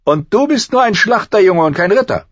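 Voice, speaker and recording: A man's voice: sits high, big pitch swings, a little slow, dry recording, dull audio, pitched above the performer's own voice, exaggerated voice. A man voicing an arrogant, cruel teenage prince in an audiobook, haughty and commanding. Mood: taunting, commanding.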